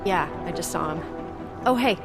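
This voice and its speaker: An actress playing a friendly, concerned office colleague in a video game, chatty and informal. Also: female voice, on the low side, expressive, moderate pace. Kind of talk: video game cutscene acting. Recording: music behind the voice.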